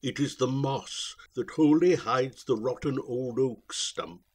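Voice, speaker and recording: A man's voice: mid-range voice, slow pace, darkish tone, slightly rough. A man reading narrative verse aloud as a dramatic recitation. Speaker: poetry reader.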